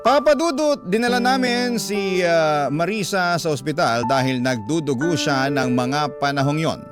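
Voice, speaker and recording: An actor playing a heartbroken young man, narrating his letter in a Filipino radio drama with measured sorrow over loud music. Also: a little high, close to an everyday voice. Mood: sorrowful.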